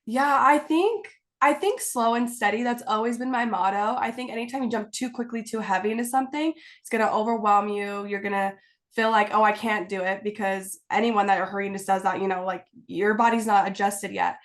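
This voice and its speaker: A woman's voice: mid-range voice, a little fast. A woman guest on a video call, slightly stuffy from a cold, talking in a bubbly, giggly, rambling way full of "like"s. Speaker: guest, content creator. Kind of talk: casual video-call interview. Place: home, indoors.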